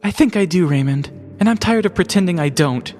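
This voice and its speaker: A man's voice: sits high, animated, a little fast, darkish tone, in a large hall, voice pushed up. A man voicing an infatuated, earnest young woman who gushes about her steamy novel in a comic story. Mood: firm.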